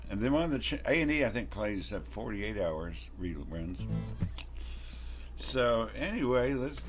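A man in his sixties chatting casually on a video call in a laid-back, halting way that trails off. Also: lowish voice. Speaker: open mic host and amateur songwriter. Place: home living room, indoors. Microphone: computer microphone on a video call.

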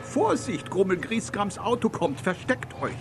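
Alert, lively companion. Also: female voice, mid-range voice, measured pace. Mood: urgent warning. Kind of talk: children's audio play acting. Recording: noisy old cassette transfer.

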